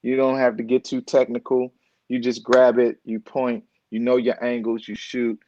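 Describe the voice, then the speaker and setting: A man chatting as a guest on a live stream, laid-back and animated, laughing easily. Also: medium pitch, moderate pace, highs cut off, slight background noise. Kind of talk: casual interview chat.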